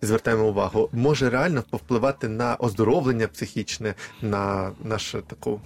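A man's voice: fairly low pitch, moderate pace. A man hosting a conversational health talk show on the radio in an upbeat, encouraging manner. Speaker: radio host.

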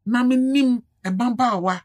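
A woman's voice: a little high, unhurried, a little mellow, no echo, studio quality. A woman, a guest on a radio talk show, speaking earnestly and laughing easily. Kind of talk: casual radio chat.

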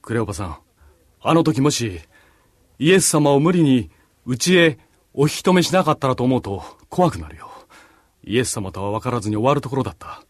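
Man acting husky, devout travelling disciple. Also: mid-range voice, expressive, a little fast, dry recording, studio quality, natural voice. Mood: subdued, thankful. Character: reflective, devout male disciple, Cleopas's travelling companion. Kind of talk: radio drama acting.

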